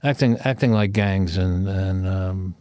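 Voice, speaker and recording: A man's voice: sits low, a little slow, darkish tone, no echo. A man chatting on a community radio show, playful and wry, teasing the others. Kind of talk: casual radio chat.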